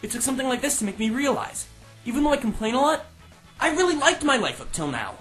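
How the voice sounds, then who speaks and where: A woman's voice: sits high, very animated, fast talker, a bit bright, faint music behind. A woman voicing an earnest, complaining teenage schoolboy in comic anime fan dub outtakes.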